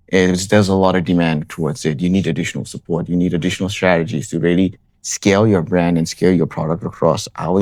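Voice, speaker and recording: A young man from Nepal, answering interview questions in a relaxed way, often restarting phrases with ums. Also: deep voice, no echo. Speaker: interviewee, account manager.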